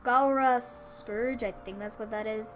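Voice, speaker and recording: A woman's voice: fairly high pitch, fairly even intonation, a little slow, slight background noise. A woman reading a visual novel aloud as a let's play host, dropping in casual asides. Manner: informal asides between read passages.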